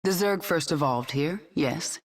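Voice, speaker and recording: A woman's voice: low voice, expressive, a little slow. An actress playing a vengeful, defiant queen of an alien swarm in a video game, commanding, sardonic and resolute. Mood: watchful. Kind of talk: video game cinematic acting. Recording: music clearly behind the voice.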